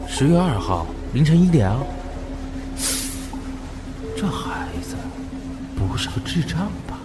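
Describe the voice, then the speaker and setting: A man's voice: on the high side, sing-song, slow, over loud music. An actor playing a brusque, matter-of-fact railway station man in an audio drama. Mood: puzzled, brusque. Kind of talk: Chinese audio drama acting.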